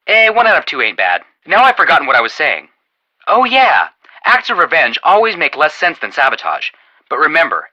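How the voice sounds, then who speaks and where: A man playing an excitable, wisecracking young amateur sleuth in a video game, chatty and emphatic, with slightly dull lo-fi sound. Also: fairly high pitch, exaggerated voice. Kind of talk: animated video game dialogue.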